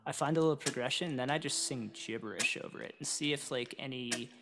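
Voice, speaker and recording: A man's voice: medium pitch, fast talker, noisy background. Man rambling young singer-songwriter guest. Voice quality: gravelly. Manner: loose, rambling, lots of fillers and restarts. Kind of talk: casual live-stream interview.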